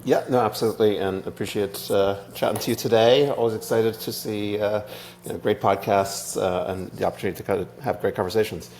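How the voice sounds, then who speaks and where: An Irish man speaks in a deep voice with plenty of vocal fry. He explains things casually, like a founder in an interview, over faint noise and hum. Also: fairly even intonation, brisk, a little mellow. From Ireland. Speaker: startup co-founder, interview guest.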